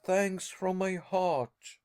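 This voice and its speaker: A man voicing a desperate young explorer who begs his uncle to turn back, crying out in excitement. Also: a little high, unhurried, pitched above the performer's own voice. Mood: pleading. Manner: excited, pleading cries. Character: young male nephew explorer, desperate and pleading.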